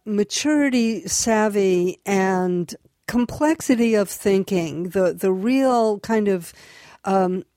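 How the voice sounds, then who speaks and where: Older woman, radio host, mellow dark voice, thoughtful, dry studio sound. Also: mid-range voice, takes it slowly, dry recording. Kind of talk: radio interview.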